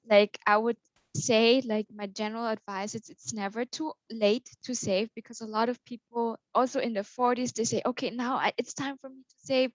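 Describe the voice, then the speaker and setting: A woman's voice: on the high side, no echo. A young woman from Austria, a guest on an interview, talking fluently and upbeat with many fillers. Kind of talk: casual interview. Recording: slightly dull, lo-fi call audio.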